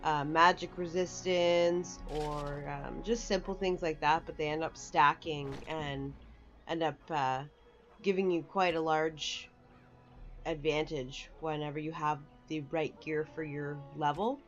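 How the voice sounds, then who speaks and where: A woman's voice: low-pitched, fairly even intonation, measured pace, a bit bright, dull audio. A woman giving casual, chatty, rambling walkthrough commentary for a video game. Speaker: gaming video host. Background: faint game music.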